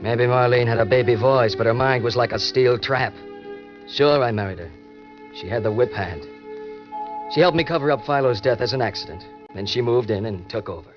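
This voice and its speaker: An actor playing a cynical, murderous schemer with a clipped, slangy, hard-boiled manner in an old-time radio crime drama. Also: male voice, mid-range voice, moderate pace, close to an everyday voice. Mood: cynical, tense. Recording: dull vintage radio recording, music.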